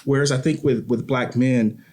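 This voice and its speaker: A man's voice: mid-range voice, steady tone, normal speed, darkish tone. A man speaking as an interview guest in a reflective, earnest conversation, often repeating and rephrasing as he goes.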